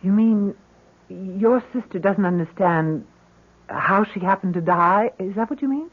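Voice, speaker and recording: A woman's voice: medium pitch, measured pace, close to an everyday voice. An actress playing an anxious, hesitant middle-aged mother in a 1970s radio drama. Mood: uneasy, hesitant. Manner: hesitant, urgent, emotional. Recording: dull vintage radio broadcast.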